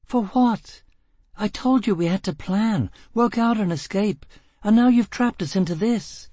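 This is a high-strung young woman lost in the dark, quick to panic and to flare up in anger, performed by a man reading the story. The voice sits high and shrill, with a slightly husky edge. It is read in a dry, close recording. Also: big pitch swings, dry recording. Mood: furious. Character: panicky, volatile young woman fleeing underground.

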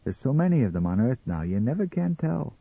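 A man voicing a sceptical, distrustful wife in an audiobook story, with a slow, plain-spoken manner. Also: medium pitch, big pitch swings, gravelly, breathy edge, dry recording, phone-quality sound, pitched above the performer's own voice, exaggerated voice. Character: sceptical, distrustful, dry wife of stand owner. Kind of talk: dramatised audiobook character reading.

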